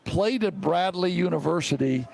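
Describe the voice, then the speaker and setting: A man's voice: high voice, lively intonation, normal speed, vocal fry, a little mellow. A middle-aged man with an American accent hosting a casual sports chat, rambling and repeating himself as he goes. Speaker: sports show host.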